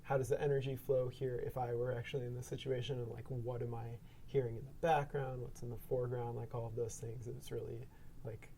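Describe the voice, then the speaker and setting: A man's voice: mid-range voice, flat delivery, brisk, warm tone, slight background noise. A man speaking as a guest sound designer in a casual round-table discussion, rambling with heavy use of "like" and "um".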